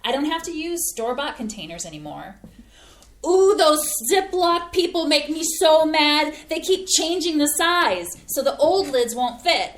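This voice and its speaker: A woman's voice: high-pitched, animated, unhurried. Folksy, eager-to-please mom who loves her own cooking, read by a woman; bouncy delivery, echoey stage. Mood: proud. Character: cheerful homey mother, proud eager home cook. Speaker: stage reading actor. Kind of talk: community theater staged reading. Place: small community playhouse stage.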